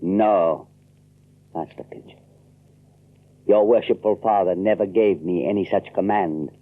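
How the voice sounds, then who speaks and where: An actor playing a humble, guarded workman of colonial New England in a deferential, measured way for an audio drama. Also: low voice. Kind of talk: audiobook radio drama acting. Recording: muffled, narrow-band old audio drama recording.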